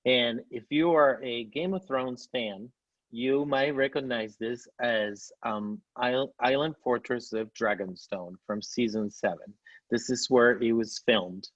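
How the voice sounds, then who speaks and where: A man's voice: medium pitch, measured pace, no room sound. Spanish-born man presenting over a dull call. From Spain. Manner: enthusiastic, descriptive, with frequent fillers. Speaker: tour company CEO presenting. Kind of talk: presenting a travel slideshow on a video call. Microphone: video call. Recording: dull, dry video call audio.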